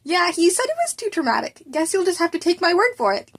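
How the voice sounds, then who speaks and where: A woman's voice: very high-pitched, sing-song, quick pace, fairly bright tone, pitched above the performer's own voice, full character voice. A woman voicing a cheeky, breezy teenage schoolboy in an amateur story reading. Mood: excited.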